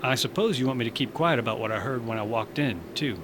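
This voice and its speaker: A man's voice: mid-range voice, quick pace, fairly bright tone, a little hiss or hum, close to an everyday voice. A man playing a wry, easygoing space station barkeeper in a sci-fi comedy audio drama, speaking with dry understatement.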